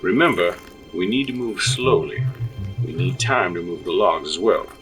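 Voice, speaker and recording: Deep-voiced practical frontier father played by a man. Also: animated, normal speed, a bit bright, faint music behind. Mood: matter-of-fact. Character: practical, steady frontier father with dry humor. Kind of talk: audio drama acting.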